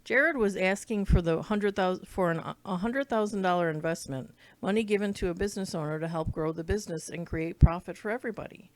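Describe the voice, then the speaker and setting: A woman's voice: on the low side, fairly quick, few pauses, no room sound. A woman reading a children's book aloud, now and then stumbling over words and rereading them. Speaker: read-along reader.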